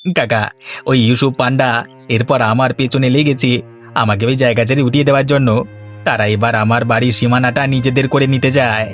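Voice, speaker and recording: Fast-talking, dramatic village man played by an actor. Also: mid-range voice, steady tone, soft background music, natural voice. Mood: pleading. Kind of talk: Bengali cartoon voice acting.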